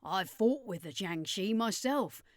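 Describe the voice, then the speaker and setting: A woman's voice: mid-range voice, lively intonation, measured pace, no room sound, natural voice. A woman as streetwise vampire woman, blunt, wary, colloquial, audiobook, dry sound. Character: brash, streetwise, suspicious female vampire, protective of children.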